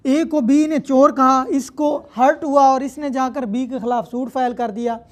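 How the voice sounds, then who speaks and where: A woman's voice: high voice, fairly even intonation, normal speed. A woman with a Pakistani accent giving an explanatory law lecture, mixing in English terms and often checking that listeners follow. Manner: didactic, repeatedly checks in with listeners.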